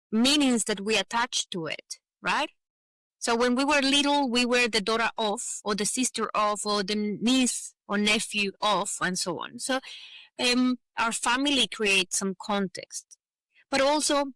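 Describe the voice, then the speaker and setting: Bright, high-voiced Venezuelan-accented woman. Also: dry recording, low-quality recording. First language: Spanish. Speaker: podcast guest, advocate for migrant professionals. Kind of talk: conversational interview.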